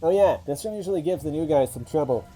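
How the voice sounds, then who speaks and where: Man putting on a jittery high-voiced little minion. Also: fast, faint music behind, close to an everyday voice, low-quality recording. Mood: casual. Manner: loud, high and excitable. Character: small male minion creature, jittery, laid-back.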